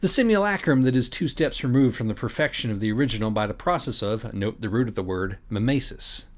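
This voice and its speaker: Theatrical man with very animated pitch. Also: quick pace. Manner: theatrical, emphatic, sardonic. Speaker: audiobook reader. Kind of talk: dramatic first-person reading of a mock-philosophical rant.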